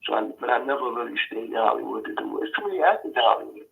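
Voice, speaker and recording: A man's voice: mid-range voice, expressive, very fast, gravelly. A man from New York, an actor being interviewed over the phone, talking casually and rambling with many restarts. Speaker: actor guest. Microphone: phone call. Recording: phone line.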